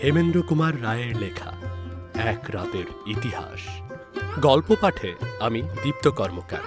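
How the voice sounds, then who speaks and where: Slow, deliberate male storyteller. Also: mid-range voice, expressive, music in the background. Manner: measured, deliberate storytelling with pauses. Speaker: story narrator and channel host. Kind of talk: dramatic scripted narration of a horror story.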